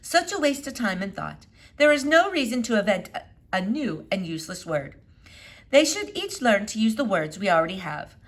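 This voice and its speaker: A woman's voice: mid-range voice, very animated, normal speed. A woman voicing a stern, dismissive female schoolteacher in a children's storybook read-aloud, firm and precise.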